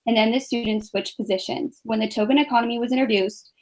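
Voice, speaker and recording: Woman in her twenties, a touch high-pitched, calm measured student presenter. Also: poor audio. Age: 20s. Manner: even, measured scripted reading. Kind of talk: reading a class presentation.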